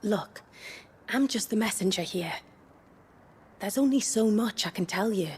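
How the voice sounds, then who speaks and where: A teasing young courtesan with a heavily husky, breathy voice. She speaks slowly, languid and knowing, in the manner of a dramatic fantasy game. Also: mid-range voice, expressive, darkish tone. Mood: frank. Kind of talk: dramatic video game voice acting.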